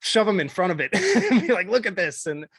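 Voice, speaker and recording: A man with a somewhat high voice chats casually on a panel. The sound is very dry, free of any room echo. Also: huge pitch swings, fairly quick, fairly bright tone, breathy edge. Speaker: music video creator on a panel. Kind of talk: casual roundtable chat.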